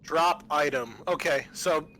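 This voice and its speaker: A man's voice: on the high side, unhurried, pushed and bright, a little hiss or hum. A man acting as game master, casually narrating a tabletop game and thinking aloud as he gives rulings.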